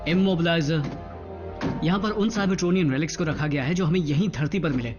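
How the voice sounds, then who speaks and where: A man voicing a teenage boy who explains things matter-of-factly in an animated series dub. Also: fairly high pitch, brisk, natural voice. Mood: informative. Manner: explanatory, listing items. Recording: dull lo-fi dub under loud music.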